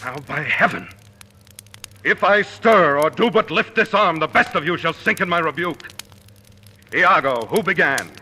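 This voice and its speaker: An actor playing a proud, commanding general with measured, declamatory Shakespearean delivery in old-time radio style. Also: male voice, a little high, lively intonation, sharp tone, breathy edge, heavily acted. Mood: stern anger. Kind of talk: 1940s radio acting of Shakespeare. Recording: noisy vintage radio recording.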